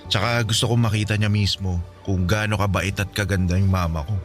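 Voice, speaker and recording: A man's voice: fairly low pitch, steady tone, fairly quick, soft background music, natural voice. A man playing a respectful, lovestruck young adult son in a naturalistic radio drama. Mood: earnest, smitten.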